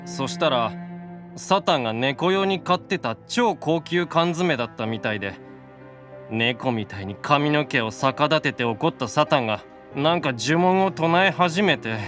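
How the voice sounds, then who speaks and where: A forlorn, gentle young demon with a big appetite, from an anime audio drama, voiced by a man. He speaks plaintively and earnestly in a slightly high, very changeable pitch over music. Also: animated, a little slow. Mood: forlorn, subdued.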